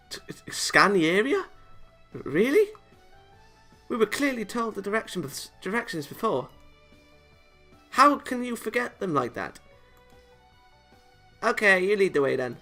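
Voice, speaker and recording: An exasperated young angel girl from a visual novel, as a male let's player puts her on. The voice is pushed higher and stays bright, with a huffy, indignant delivery over faint music. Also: very animated, a little slow, long pauses, exaggerated voice. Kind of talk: visual novel character reading.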